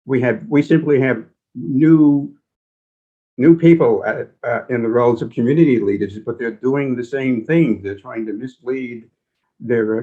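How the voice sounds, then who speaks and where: An older man, a veteran journalist on a panel, talking reflectively in an earnest, folksy way. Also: medium pitch. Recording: slightly dull, lo-fi audio.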